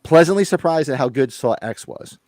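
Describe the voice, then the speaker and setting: A man's voice: on the high side, animated, fairly quick, a little mellow, no echo. An American man hosting a casual chat, rambling and blunt with some swearing, his voice recovering after being lost. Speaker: podcast host. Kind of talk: casual chat about horror movies.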